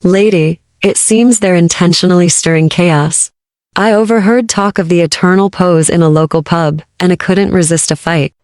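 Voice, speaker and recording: A woman acts out a loyal pirate gunner in an audio drama. Her voice is a touch low for a woman and she reports formally and dutifully. The recording sounds dry. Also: normal speed, darkish tone, no room sound, natural voice. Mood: dutiful, alert.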